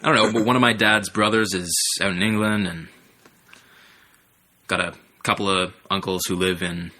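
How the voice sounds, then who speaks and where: A man's voice: deep voice, fairly even intonation, normal speed, pushed and bright. A man chatting in a mock-serious, hesitant way on a casual comedy podcast, recorded in a bedroom. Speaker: podcast host and engineer. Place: bedroom, under a bunk bed. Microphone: shared podcast microphones.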